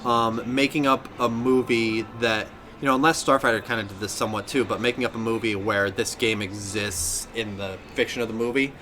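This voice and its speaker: A man's voice: lowish voice, fairly even intonation, fairly quick, hardly any pauses, pushed and bright, a little hiss or hum. A man hosting a podcast in casual gaming chat, talking in a rambling, self-correcting way. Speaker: podcast host.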